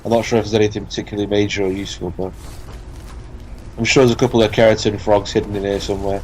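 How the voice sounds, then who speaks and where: A man's voice: lowish voice, level tone, rapid-fire, poor audio. A British man chatting casually as a let's play commentator, opinionated and sweary. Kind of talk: casual banter over gameplay. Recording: background game noise behind voice. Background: video game sound.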